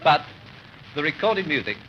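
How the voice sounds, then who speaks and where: An English man giving a stately, measured, formal scripted speech as the host of a ceremony, on an old 1930s recording. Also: fairly high pitch, very animated. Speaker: host introducing the guest speaker. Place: public opening ceremony in a store. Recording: muffled, noisy 1930s archive recording.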